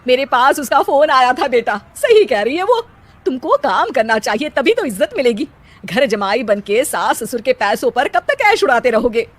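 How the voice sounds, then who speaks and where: A woman's voice: high-pitched, very animated, moderate pace, slight background noise. A woman voicing a concerned middle-aged mother who gives plain, chiding advice.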